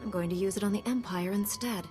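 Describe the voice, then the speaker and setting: A woman's voice: medium pitch, quick pace, natural voice. A woman playing a determined young warrior knight in a video game, direct and composed. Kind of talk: dramatic video game dialogue. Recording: very loud music behind.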